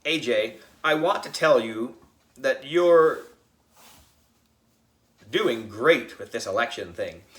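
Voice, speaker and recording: A man's voice: mid-range voice, expressive, slow pace, pauses often, a bit bright, reverberant. A man voicing a glib, scheming small-town mayor in a children's audiobook, emphatic, chummy and persuasive.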